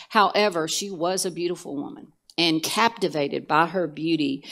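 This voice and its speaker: An older woman co-hosting a church Bible study show, retelling scripture stories in a measured way with some fillers. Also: sits low, gravelly. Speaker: co-host teaching scripture. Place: church studio set, indoors.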